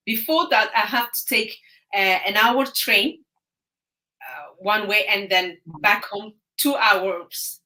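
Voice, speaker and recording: Bright-voiced halting woman guest. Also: medium pitch. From Ethiopia. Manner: hesitant, halting, frequent uh fillers. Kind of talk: casual live-stream interview.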